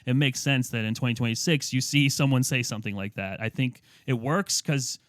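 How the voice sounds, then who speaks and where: A man's voice: medium pitch, a little fast, fairly bright tone, dry recording, clean studio sound. A man co-hosting a casual movie review chat, rambling easily with lots of fillers. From United States. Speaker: podcast co-host.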